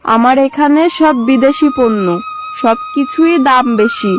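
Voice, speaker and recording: A woman's voice: high voice, measured pace, over music. A woman voicing a grown female fox villager in a cartoon, fussy and chatty with a scolding manner. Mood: haughty. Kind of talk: cartoon character acting.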